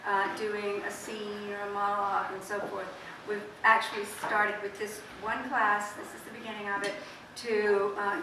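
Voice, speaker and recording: A woman who teaches acting gives an informal, rambling introduction to an audience at a student show. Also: fairly high pitch. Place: small theatre, recorded from the audience. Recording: echoey room, distant mic, clear noise.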